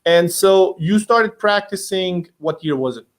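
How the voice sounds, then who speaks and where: A man's voice: high-pitched, expressive, measured pace. Casual man, hosting. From Bosnia. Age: 30s. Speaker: interview host. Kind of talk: casual conversational interview.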